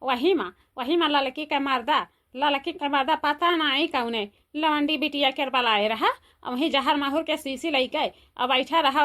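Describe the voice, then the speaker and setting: A woman's voice: high voice, fairly bright tone. A woman with an Awadhi accent playing a scolding older village mother-in-law in a comedy cartoon, firing repeated rhetorical questions.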